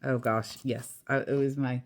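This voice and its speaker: A woman reading a children's picture book aloud as storyteller, expressive and deliberate, with casual asides. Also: a bass voice, a little hiss or hum.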